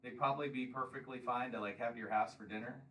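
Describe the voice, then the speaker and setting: A man's voice: mid-range voice, steady tone, fast talker, bright, forceful tone, recorded from a distance, rough recording. A man in his fifties giving a combative campaign speech and taking questions at a local meeting, often pressing "Okay?". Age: 50s. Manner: combative, emphatic, repeats "Okay?". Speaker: congressional candidate.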